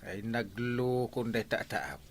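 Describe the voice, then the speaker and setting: A man's voice: medium pitch, lively intonation, takes it slowly, no echo. A man with an Ilocano accent playing a defensive, forgetful henpecked husband in a village comedy drama.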